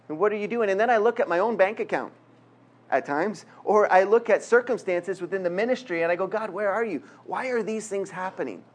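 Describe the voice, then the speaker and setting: A middle-aged man from Quebec with a Canadian accent, preaching a conversational sermon with self-deprecating humor. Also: sits high. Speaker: guest preacher. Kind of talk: sermon with jokes and prayer.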